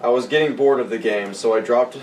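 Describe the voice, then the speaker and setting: A man's voice: medium pitch, fairly quick, roomy sound. A man co-hosting a podcast, switching between reading a story aloud and mocking, casual banter. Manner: animated, mocking, sarcastic. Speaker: podcast co-host. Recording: distant mic, faint room noise.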